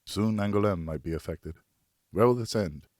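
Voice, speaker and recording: A man narrating a historical novel in the first person, with expressive, deliberate storytelling. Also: lowish voice, no room sound, clean studio sound. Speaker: audiobook narrator, professional voice actor.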